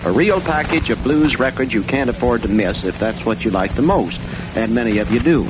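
An American man working as a radio DJ, pitching mail-order records in folksy, colloquial 1960s patter. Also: lively intonation, brisk. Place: radio studio. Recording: noisy 1960 AM radio aircheck.

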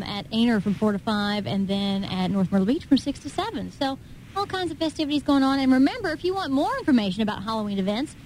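High-voiced woman radio host. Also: darkish tone, a little hiss or hum, low-quality recording. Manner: chatty, conversational. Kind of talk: casual radio presenting between songs. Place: radio studio.

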